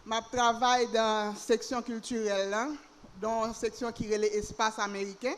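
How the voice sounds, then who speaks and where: A woman's voice: fairly high pitch, flat delivery, normal speed, slight background noise. Woman explaining her cultural work. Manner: explanatory, lists items steadily. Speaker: cultural officer. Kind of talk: introducing herself to a panel audience.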